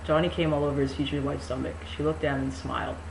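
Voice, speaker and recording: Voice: mid-range voice, little pitch movement, fast, gravelly. An amateur reader performs a novel in mock-dramatic style. The delivery is sarcastic and incredulous, with sweary asides. The room echoes and there is faint noise behind the voice.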